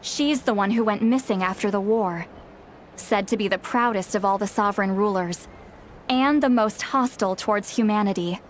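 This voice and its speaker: A woman playing a shrewd, world-weary self-styled granny healer in a video game, measured and knowing. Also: mid-range voice, slight background noise, lightly acted. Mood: grave. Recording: faint music under the voice.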